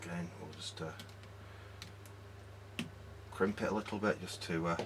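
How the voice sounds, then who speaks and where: Low-pitched man talking through a repair. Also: fairly even intonation, measured pace, background noise. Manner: informal, muttering step by step while working. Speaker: hobbyist electronics repairer and presenter. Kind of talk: casual hands-on repair commentary.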